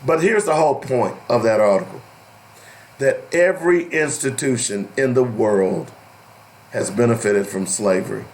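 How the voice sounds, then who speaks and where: A man hosting an opinionated radio talk show, deliberate and emphatic, with a strained, cracking voice that is giving out. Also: mid-range voice, a little hiss or hum, poor audio. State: losing his voice. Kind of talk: opinionated radio talk, partly reading aloud.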